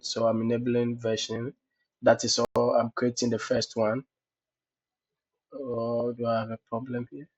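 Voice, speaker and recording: A man with a cold hosting a screen-recorded cloud lab tutorial, explaining step by step with many "okay, so" fillers. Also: mid-range voice. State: has a cold. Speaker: tutorial host. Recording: dull lo-fi screencast audio.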